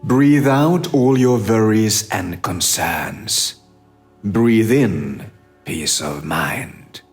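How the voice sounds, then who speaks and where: A man narrating a scripted guided meditation in a measured, instructive manner with long pauses. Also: lowish voice, lively intonation, soft background music.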